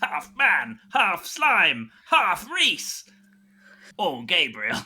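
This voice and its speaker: A man's voice: sits high, wildly swooping pitch, drawn-out words, bright, forceful tone, slightly rough, pitched above the performer's own voice, heavily acted. A man playing an earnest, over-the-top action hero with a melodramatic delivery in improvised audio comedy. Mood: urgent, heroic.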